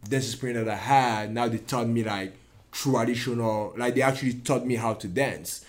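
A man's voice: medium pitch, moderate pace. A man, chatting casually as an interview guest in loose slang, often restarting his sentences. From Cameroon. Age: early 20s. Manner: loose slangy talk with lots of "like", "yo" and "bro". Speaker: guest, dance instructor.

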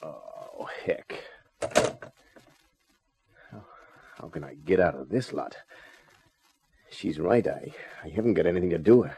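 An actor playing a wry, hard-boiled private detective in a 1950s radio drama, husky and slangy. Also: male voice, sits low, animated, moderate pace, warm tone, a little hiss or hum. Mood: resigned, wry. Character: wisecracking, hard-boiled male private detective, weary of romance. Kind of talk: 1950s radio detective drama acting and first-person narration. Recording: dull vintage radio drama recording.

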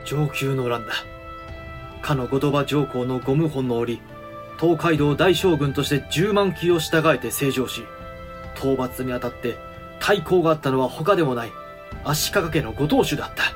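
A man acting a sober, authoritative samurai regent in a voice drama, formal, firm and measured. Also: mid-range voice, music in the background, lightly acted. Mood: stern explaining. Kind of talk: voice drama acting in period Japanese.

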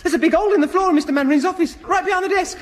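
An actor playing an eager, naive young English bank clerk and home guard private in a radio sitcom, boyish and earnest. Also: male voice, very high voice, racing. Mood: flustered. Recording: slightly noisy old radio recording.

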